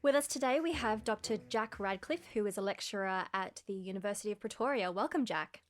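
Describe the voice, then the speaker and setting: A woman co-hosts a science podcast in a voice of average pitch. She sounds friendly and careful, and drops in the occasional filler while she talks. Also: fairly quick. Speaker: podcast co-host. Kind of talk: casual podcast chat.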